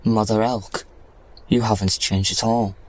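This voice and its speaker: A man's voice: on the low side, little pitch movement, measured pace. A man playing an earnest, plain-spoken young titan prince in a fantasy audio drama. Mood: familiar.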